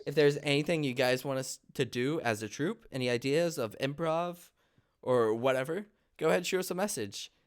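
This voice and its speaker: A man's voice: mid-range voice, brisk. A man speaking casually as the host wrapping up a group livestream.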